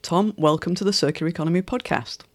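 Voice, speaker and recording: A woman hosting a podcast, reading a scripted intro with an upbeat, measured delivery, then opening an interview. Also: fairly low pitch, dry recording, clean studio sound. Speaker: podcast host.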